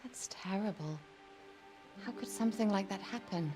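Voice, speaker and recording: An actress playing a young, pious noblewoman in a medieval video game, speaking with measured sympathy. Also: medium pitch, over music, lightly acted. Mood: compassionate. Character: gentle, pious medieval noblewoman married to an older lord. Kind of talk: dramatic game cutscene acting.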